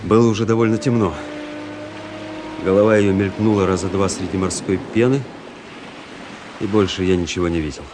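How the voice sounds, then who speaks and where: An intense young officer, played by a man, tells his own adventure in a radio play. His voice sits fairly low, with music clearly underneath. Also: fairly even intonation, brisk, long pauses, natural voice. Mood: reflective, measured. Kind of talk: dramatic first-person narration, radio play.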